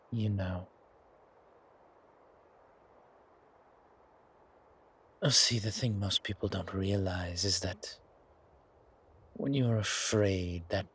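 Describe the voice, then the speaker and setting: Seductive ageless vampire played by a man, low-pitched, unhurried, hushed and menacing, for horror ASMR roleplay. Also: slightly rough, full character voice. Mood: musing, predatory. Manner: slow, deliberate, hushed, soothing yet menacing. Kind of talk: ASMR horror roleplay, intimate monologue to the listener.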